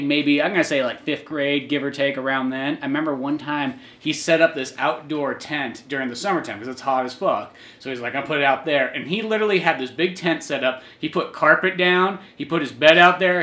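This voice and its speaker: A man's voice: on the high side, normal speed, hardly any pauses, a bit bright. A man hosting a podcast, giving rambling, casual commentary on his comic with some swearing. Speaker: podcast host, comic creator.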